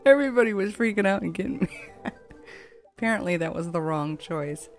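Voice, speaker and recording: A woman's voice: mid-range voice, expressive, brisk. A woman giving casual, chatty let's play commentary in a rambling, conversational way. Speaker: gaming channel host. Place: voice-over on game footage. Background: game music.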